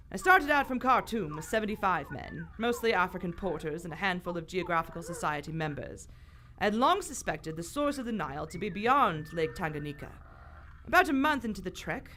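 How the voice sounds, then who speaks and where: A woman's voice: on the low side, a bit bright. A woman playing a poised, wry aristocratic British explorer in live radio theater, dry and commanding. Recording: live stage recording with faint noise.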